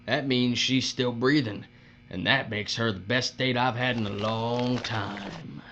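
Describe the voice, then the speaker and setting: A man's voice: mid-range voice, unhurried. Man acting cruel folksy bully, muffled hum. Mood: taunting menace. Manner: taunting drawl with folksy uneducated grammar. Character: cruel, leering, folksy male bully boss in a dystopia. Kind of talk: audio drama acting. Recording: muffled audio with steady mains hum.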